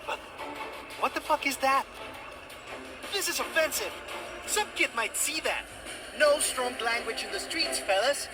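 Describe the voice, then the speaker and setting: A young amateur superhero from a video game. He is excitable and wisecracking, with a lively, swinging pitch over loud music. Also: measured pace, long pauses. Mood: indignant. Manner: sarcastic, exclamatory. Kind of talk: video game cutscene acting and narration.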